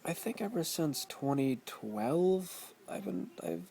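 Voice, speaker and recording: A man's voice: a little high, very animated, slow pace, warm tone. A man in his twenties, tired, rambling and swearing constantly in a casual vlog. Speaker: vlogger.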